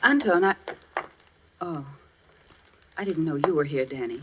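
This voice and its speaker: A dull vintage radio recording of a jealous woman scorned. Her delivery is intense and melodramatic, with pitch that swoops widely in old-time radio style. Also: expressive, a little slow, noisy background. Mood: hurt, bitter. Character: jilted, jealous, vengeful woman in love. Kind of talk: 1940s radio melodrama acting.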